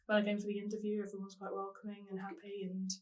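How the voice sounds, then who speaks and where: A woman's voice: medium pitch, completely flat delivery, racing, recorded from a distance, poor audio. A young woman from South London, a paramedic student chatting casually, often laughing and hesitating. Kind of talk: casual chat.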